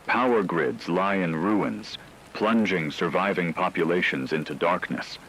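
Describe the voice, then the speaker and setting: A man's voice: sits low, fairly bright tone, a little hiss or hum, full character voice, low-quality recording. A man playing a grave radio news anchor, delivering a scripted disaster report in a solemn, formal, rhetorical manner.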